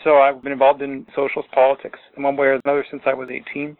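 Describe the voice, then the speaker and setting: A man in his forties, a socialist organizer giving a deliberate political analysis in an interview over a call. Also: medium pitch, completely flat delivery, no echo. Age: 40s. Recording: tinny phone line.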